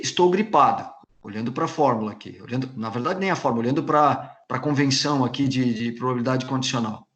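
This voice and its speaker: Brazilian man lecturing in an echoey room. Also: mid-range voice. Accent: Brazilian Portuguese. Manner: patient, repeats and rephrases points, many restarts. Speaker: university lecturer. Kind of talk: recorded lecture explaining slides.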